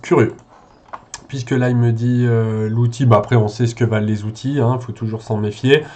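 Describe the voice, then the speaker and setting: A man's voice: mid-range voice, fairly even intonation, moderate pace, darkish tone, slight background noise. A man giving an unscripted screen-share commentary as a consultant, thinking aloud in a conversational, hesitant way with frequent check-in tags. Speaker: SEO consultant presenting a website audit.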